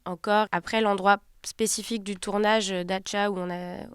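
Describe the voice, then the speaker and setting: A woman director from Turkey talks through her film in a measured, reflective way. Her voice is smooth and resonant, and the sound is dry and close. She often pauses and circles back to find the right word. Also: medium pitch, little pitch movement, no room sound. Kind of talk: interview about her film.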